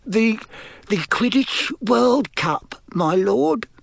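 A man's voice: sits high, lively intonation, measured pace, a little mellow, dry recording, pitched above the performer's own voice, heavily acted. A man voicing a fearful, servile male henchman in an audiobook, nervous, hesitant and deferential. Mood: timid, puzzled.

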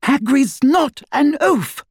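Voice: high voice, lively intonation, slow, no room sound, studio quality, pitched above the performer's own voice. A performer voicing an earnest, insistent teenage girl, a young witch who speaks politely and precisely, in an audiobook. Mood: indignant.

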